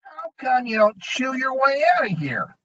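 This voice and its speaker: A man reading an audiobook, voicing a sneering, bullying male goblin gang leader with high, snarling taunts. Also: very animated, unhurried, sharp tone, full character voice. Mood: menacing. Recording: dull lo-fi recording.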